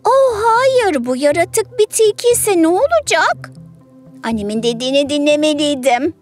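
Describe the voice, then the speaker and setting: A woman's voice: high voice, very animated, normal speed, faint music behind, pitched above the performer's own voice. A woman voicing a naive, easily worried young female goat in a childlike, innocent way for a children's story.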